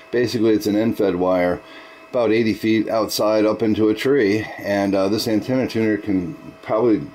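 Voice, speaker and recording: A man with a low voice chats casually as a ham radio operator. He sounds enthusiastic and folksy, with some background noise behind him. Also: steady tone, normal speed, a little mellow.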